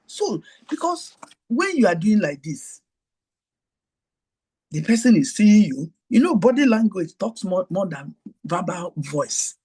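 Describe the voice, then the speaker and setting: A Nigerian woman hosts her show on an online group call and teaches in a high voice with a breathy, husky edge. Her pitch stays in a middle range for a woman. She drifts into personal stories and keeps repeating her key points to the people listening. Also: very animated, unhurried, warm tone. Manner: digressive, repeats key phrases, talks straight to listeners. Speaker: host.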